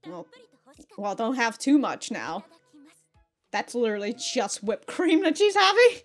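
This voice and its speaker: Woman, high-pitched voice, very lively intonation, unhurried pace, slangy reaction commentary, sweary, exclamatory. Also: soft background music. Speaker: reaction video host. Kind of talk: casual reaction commentary to an anime episode.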